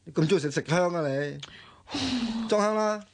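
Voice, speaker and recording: A man acting in a radio drama as an exasperated young renovation worker with a soft heart, blunt and nagging in colloquial Hong Kong Cantonese. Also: high voice, animated, moderate pace. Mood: teasing, wistful.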